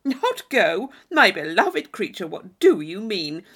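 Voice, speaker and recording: A woman narrator puts on a brash young gentleman for a classic storybook. He blusters and boasts, pushing every point loudly and emphatically, with very lively swings of pitch. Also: takes it slowly, slightly rough, heavily acted. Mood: pushy, emphatic. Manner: forceful, emphatic, exclamatory.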